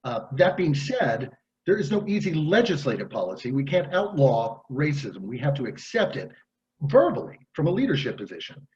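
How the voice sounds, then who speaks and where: Candidate at a town forum, man, lively pitch, measured policy talk, muffled video-call audio. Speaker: mayoral candidate.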